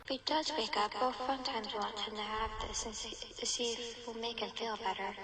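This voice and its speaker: A woman voicing a gentle, concerned animatronic ballerina, quiet and considerate, in a fan comic dub. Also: on the high side, steady tone, fairly quick.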